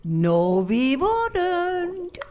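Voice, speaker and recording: Sardonic villainous nurse, acted by a woman, very slow, lilting intonation, sly, radio drama. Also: very high voice, wildly swooping pitch, a little mellow, exaggerated voice. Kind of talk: live stage radio drama acting. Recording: live stage recording with faint noise.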